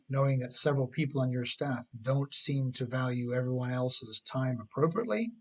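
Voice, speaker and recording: A middle-aged man reading his own humorous advice book as scripted narration, with an emphatic, tongue-in-cheek delivery. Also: a little high.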